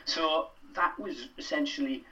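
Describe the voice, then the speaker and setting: A man's voice: fairly high pitch, measured pace, bright, forceful tone, far from the mic, lo-fi sound, a little hiss or hum. A middle-aged Welsh man, a drama lecturer, chatting as a podcast guest with many fillers and restarts. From Wales. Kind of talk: casual podcast interview.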